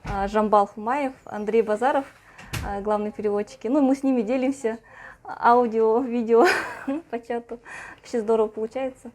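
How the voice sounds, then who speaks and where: A woman's voice: fairly high pitch, steady tone, normal speed, a little mellow. A woman talking casually, in an everyday conversational style. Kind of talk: casual chat. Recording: some background noise.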